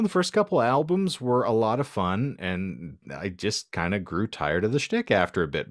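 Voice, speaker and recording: Low-pitched, wordy man on a podcast. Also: no echo, studio quality. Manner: wry, wordy, ornate vocabulary. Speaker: podcast co-host. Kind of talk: casual podcast discussion.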